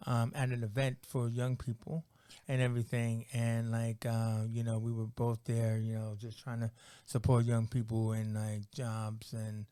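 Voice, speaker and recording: A man's voice: medium pitch, completely flat delivery, measured pace, dark tone, smooth, no echo. An American man hosting a casual interview podcast, rambling and joking with lots of "like" and "you know". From United States. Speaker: podcast host.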